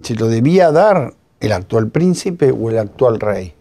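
A man's voice: mid-range voice, very animated, a little slow, warm tone. An older man with an Argentine accent presenting a culture show to camera from a room full of bookshelves. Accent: Argentine Spanish. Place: library room lined with bookshelves.

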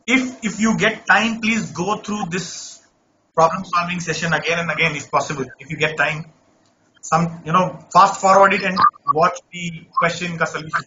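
A man's voice: high voice, normal speed, pushed and bright, lo-fi sound, a little hiss or hum. A man teaching an online math class, talking students through a problem and often checking "right?" with a voice he says is not normal. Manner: coaxing, repeats checks like "right?".

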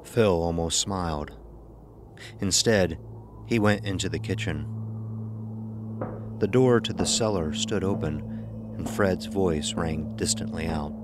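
Very deep, slow-paced male narrator. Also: noisy background. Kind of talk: scripted horror story narration.

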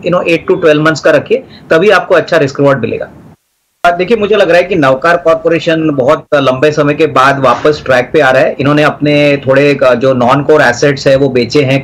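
Man, middle-aged, market analyst, steady advisory tone, slightly high voice, studio lapel mic. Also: poor audio. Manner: steady advisory tone, Hindi mixed with English terms. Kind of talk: stock market advice on business TV.

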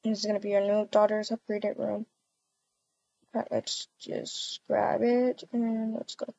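A woman's voice: mid-range voice, flat delivery, unhurried, creaky, warm tone, highs cut off. A woman voicing a betrayed, indignant and bossy wife in an amateur game roleplay. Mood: bitter, curt. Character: furious betrayed wife demanding divorce. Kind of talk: amateur voiced Roblox roleplay.

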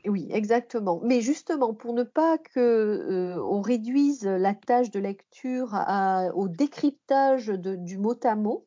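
Female podcast expert, mellow and slightly high, explanatory delivery with rephrasing, dull audio. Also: animated, takes it slowly, hardly any pauses. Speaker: guest expert, language teacher and researcher. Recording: muffled, band-limited podcast audio.

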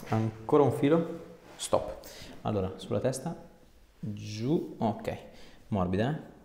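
Low, gravelly man giving treatment instructions. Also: expressive, slow pace, dark tone, soft background music. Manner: short coaxing commands, often repeated, with joking asides. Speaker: chiropractor treating a patient. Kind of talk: hands-on instructions and casual chat with patient. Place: small indoor treatment room. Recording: echoey small room, faint background noise.

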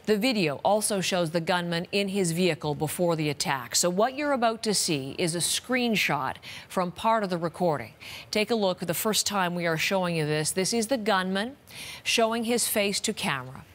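A woman in her fifties anchors live news in a measured, serious tone. Her voice sits on the lower side for a woman, and she speaks clipped into a studio lapel mic. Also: expressive. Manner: measured, grave delivery.

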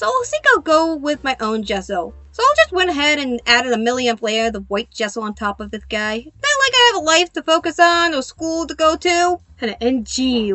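A young adult woman narrating a craft video as host in a playful, animated, self-mocking way. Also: high voice, dry recording.

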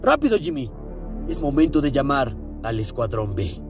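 A woman's voice: extremely low pitch, sing-song, moderate pace. A woman voicing comic characters in a playful fan dub. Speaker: fan dubber. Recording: home recording over music.

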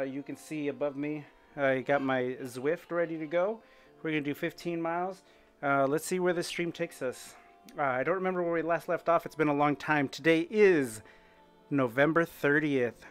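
A man's voice: on the high side, clear, resonant tone. Chatty middle-aged man gaming on a bike. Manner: chatty and upbeat, thinking out loud. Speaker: streamer. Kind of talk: casual gaming commentary while cycling. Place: home room with indoor bike.

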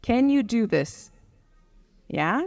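Young woman coach, high animated voice, upbeat teaching manner, handheld mic in a gym hall with long reverb. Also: slow, vocal fry. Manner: lively, coaching, laughs often, checks in with okay. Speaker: fitness coach giving a keynote. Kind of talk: live workshop talk, mixing English and German. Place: large gym hall with a seated audience. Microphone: handheld microphone.

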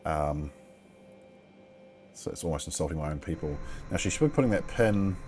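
A man in his thirties with a relaxed New Zealand accent talks through a flight simulator session in a very low voice. He rambles with frequent ums and the odd mild swear word. A faint background hum sits under his headset mic. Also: normal speed. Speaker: flight sim streamer. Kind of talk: casual gameplay commentary.

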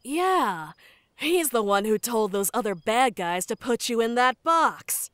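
Cartoon pirate captain played by a woman: tough, sardonic, fairly high, slow and deliberate, music underneath. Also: animated, a bit bright, dry recording. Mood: sly, resigned. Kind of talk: motion comic voice acting. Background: background music.

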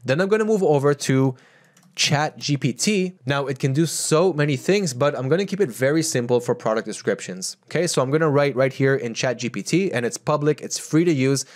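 A man in his thirties presenting a screen-share tutorial, talking straight to viewers in an energetic way and reading text aloud, seated indoors at a close mic. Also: mid-range voice, brisk. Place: indoor home studio, seated in a gaming chair.

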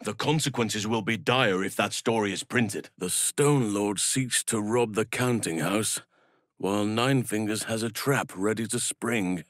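A man voicing an earnest, solemn druid healer in a fantasy video game, speaking in measured, formal phrases. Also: lowish voice, no room sound, studio quality, natural voice. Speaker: video game companion character. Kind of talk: scripted fantasy game voice acting.

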